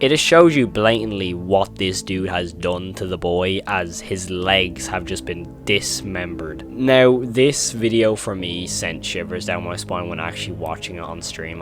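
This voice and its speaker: A man hosting a horror video commentary, retelling and reacting in an informal, emphatic way. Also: low voice.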